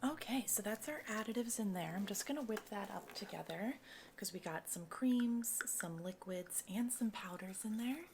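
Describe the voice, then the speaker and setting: A soft-spoken woman doing an ASMR cosmetics consultation roleplay, breathy and often slipping into a whisper, explaining each step in a casual, reassuring way. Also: mid-range voice, expressive, normal speed, background noise.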